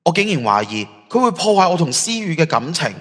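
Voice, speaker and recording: Conflicted young lover, played by a man, Hong Kong Cantonese, higher-pitched and very expressive, earnest radio-drama soul-searching in a reverberant hall. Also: a little fast. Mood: remorseful. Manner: introspective, earnest, emotional. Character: conflicted, remorseful young man torn in love. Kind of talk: audio drama acting.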